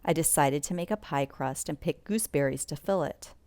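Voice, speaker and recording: A woman reading a children's bedtime story aloud in an expressive read-along style, sometimes commenting as she goes. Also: low-pitched, fairly quick, no echo. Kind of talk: bedtime story read-along narration with asides about pictures.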